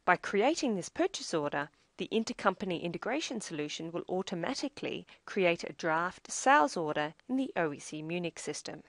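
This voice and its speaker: A woman with a slightly low voice narrates a scripted software demonstration. She explains each step in a measured way. The sound is very dry, with no room echo. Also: very animated, vocal fry.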